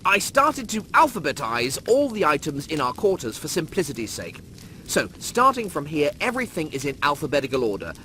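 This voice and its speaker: A fussy, pedantic hologram officer, voiced by a man in a sci-fi comedy audiobook. The voice is high and sharp, swinging widely and easily panicked. Some noise sits behind it. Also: wildly swooping pitch, fairly quick, heavily acted. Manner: pedantic, shrill, urgent. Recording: noisy background.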